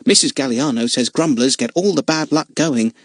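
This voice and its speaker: A man's voice: medium pitch, moderate pace, no room sound, studio quality, close to an everyday voice. A man voicing a cheerful young circus boy in a storybook reading. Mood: excited. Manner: bright and excited.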